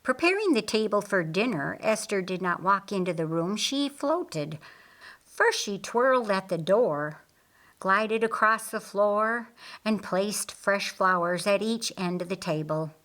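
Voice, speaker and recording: Slow-paced woman narrating a story. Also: medium pitch, animated, fairly bright tone. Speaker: audiobook narrator.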